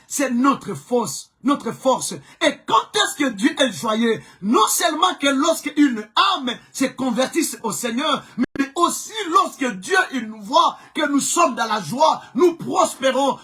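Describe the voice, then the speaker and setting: Fervent Congolese preacher, very high, bright voice. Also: male voice. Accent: Congolese French. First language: Chokwe. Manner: fervent, emphatic preaching, often shouted, repeating phrases. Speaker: pastor. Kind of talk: live-streamed preaching sermon.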